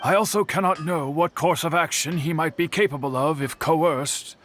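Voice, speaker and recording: Slightly high male voice, courteous middle-aged craftsman played by a man, formal, earnest, game dialogue, soft music. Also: normal speed, natural voice. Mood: earnest, worried. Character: courteous, earnest middle-aged Japanese swordsmith uncle, solemn. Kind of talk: video game voice acting.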